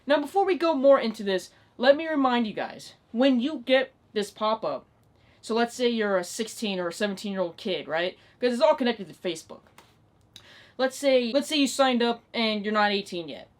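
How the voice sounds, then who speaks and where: A woman's voice: fairly high pitch, animated, normal speed. A nineteen-year-old woman ranting in a casual, unscripted vlog style, exasperated and emphatic, with plenty of swearing. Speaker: online video creator.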